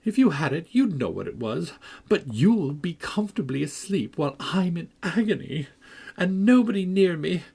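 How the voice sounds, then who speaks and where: A man's voice: on the high side, big pitch swings, moderate pace, hardly any pauses, a little mellow, slightly rough, pitched above the performer's own voice, exaggerated voice. A man voicing a peevish, sickly boy who whines and taunts in a self-pitying way.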